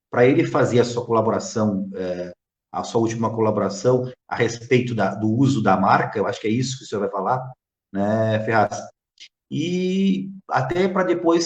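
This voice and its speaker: A man hosting a casual live interview, teasing his guests in a friendly way. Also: fairly low pitch. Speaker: interview host. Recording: echoey room.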